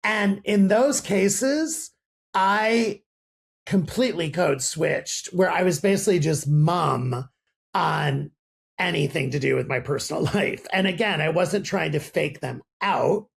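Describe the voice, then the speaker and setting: Male podcast host, gravelly and husky, lively conversational delivery. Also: fairly high pitch, a little slow. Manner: animated, warm affirmations and laughs. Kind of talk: casual interview chat.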